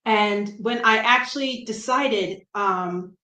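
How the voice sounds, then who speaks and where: A middle-aged woman from New Jersey with a light Brooklyn accent, a comic writer chatting as a guest, self-deprecating and quick to laugh. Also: mid-range voice, bright, forceful tone. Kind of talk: casual interview chat. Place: room at home. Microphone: video call. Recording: roomy echo on a video call.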